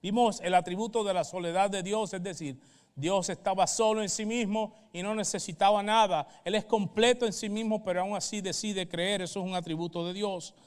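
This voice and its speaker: A man with a high voice and a Puerto Rican accent delivers a sermon as a pastor. He teaches step by step, stopping for brief check-in questions. The sound carries the long reverb of a hall. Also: fairly even intonation, brisk, smooth. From Puerto Rico. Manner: didactic, checks in with short questions.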